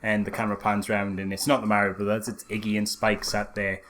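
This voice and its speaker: A man's voice: lowish voice, flat delivery, fairly quick, a bit bright, slight background noise. A man co-hosting a podcast, reviewing films in casual conversation with a blunt, informal way of talking. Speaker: podcast co-host. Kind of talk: casual film review chat.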